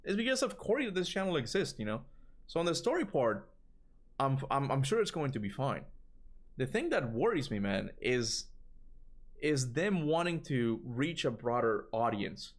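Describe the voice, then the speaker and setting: Man, Cuban accent, casual streamer talk, rambling and lively, mid pitch. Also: moderate pace. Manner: rambling, animated, swears casually. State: tired voice from long talking. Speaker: gaming streamer answering chat. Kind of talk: casual livestream chat.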